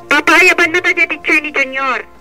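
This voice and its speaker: A woman's voice: sits high, fairly even intonation, normal speed, sharp tone, faint music behind, low-quality recording. An actress playing an exasperated young mother who complains sharply and blames her husband in a radio drama. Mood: complaining. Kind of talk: Ilocano radio drama acting.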